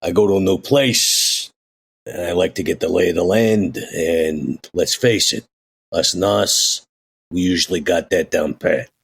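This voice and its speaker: A streetwise century-old vampire tough guy, acted by a man, with a very deep, husky growl full of fry. He talks in offhand, folksy old-time slang and is politely deferential. It is tabletop roleplay. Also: unhurried, full character voice. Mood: polite, casual. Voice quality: gravelly, husky.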